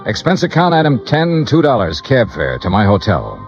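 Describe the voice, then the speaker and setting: An American actor playing a weary, clipped insurance investigator in a 1950s radio noir drama, narrating his own case in a deadpan way. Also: mid-range voice, normal speed. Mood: weary, dry narration. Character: hard-boiled, weary male insurance investigator. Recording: dull vintage radio recording, music behind.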